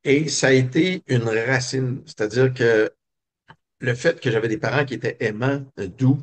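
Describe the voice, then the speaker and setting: Un homme québécois, invité d'une entrevue, qui raconte son enfance d'un ton réfléchi, avec beaucoup d'hésitations. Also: male voice, medium pitch, normal speed. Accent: Québécois French. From Quebec. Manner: reflective, many hesitations and fillers. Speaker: guest. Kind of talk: personal storytelling interview.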